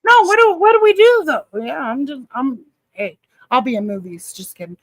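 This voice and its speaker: Woman hosting a livestream, high voice, dry close sound, playful and giggly. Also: very animated, moderate pace, no echo. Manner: laughs often, playful and chatty. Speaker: host. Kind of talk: casual livestream chat.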